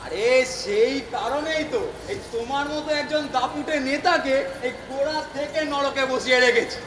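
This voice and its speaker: A man's voice: very high-pitched, normal speed, crowd noise. A man playing an old creator god of hell in a stage comedy, nervous, placating and wily, stammering and repeating his words. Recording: echoey noisy stage recording.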